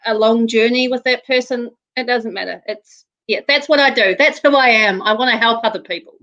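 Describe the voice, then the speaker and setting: A woman's voice: fairly high pitch, brisk, a bit bright, highs cut off. A woman in her thirties from New Zealand with a New Zealand accent, guest on a live interview show, talking earnestly and laughing between phrases.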